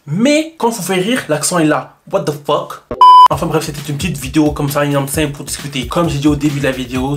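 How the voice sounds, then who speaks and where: Young guy vlogging, kinda high voice, lively and chatty, soft music under it. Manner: animated, rhetorical, addresses viewers directly. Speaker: YouTuber. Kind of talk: casual talk to camera.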